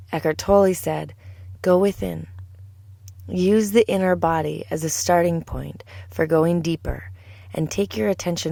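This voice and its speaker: A woman with a low, mellow voice guides a yoga nidra practice. She phrases everything deliberately and soothingly, like a teacher leading relaxation. The recording is close and dry, with no room sound. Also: gravelly, no room sound. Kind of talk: guided meditation narration.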